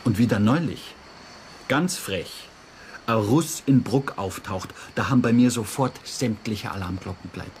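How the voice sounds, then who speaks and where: A man's voice: on the low side, moderate pace, darkish tone, slight background noise, natural voice. An actor playing a spiteful, defensive man with a Bavarian accent under police questioning in a radio crime drama, brusque and indignant. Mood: scornful. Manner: brusque, indignant, self-justifying. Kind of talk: naturalistic German radio crime drama acting.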